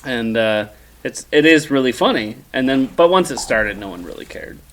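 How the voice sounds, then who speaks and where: A man's voice: mid-range voice, lively intonation, moderate pace, fairly bright tone. A man co-hosting a podcast in casual, opinionated group chat, with some swearing. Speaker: podcast co-host.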